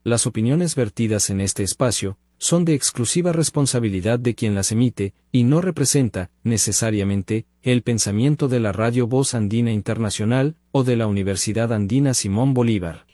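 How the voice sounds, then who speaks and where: Dry, mellow man reading a disclaimer. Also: mid-range voice, normal speed, few pauses, dry recording. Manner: flat, formal reading. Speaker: station announcer. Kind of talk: read disclaimer.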